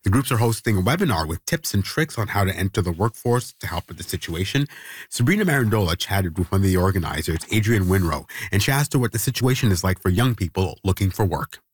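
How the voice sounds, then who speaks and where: A man hosting a radio news program, reading a scripted introduction in a steady, read-from-script delivery. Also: low voice, fast, slightly rough, no echo.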